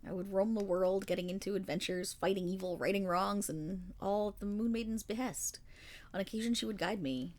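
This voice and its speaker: A woman playing a kindly, weary and remorseful paladin in a fantasy roleplay audio, speaking formally in measured, gentle storytelling with dramatic pauses. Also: mid-range voice, steady tone, lightly acted. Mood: wistful. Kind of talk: fantasy roleplay audio monologue addressed to the listener.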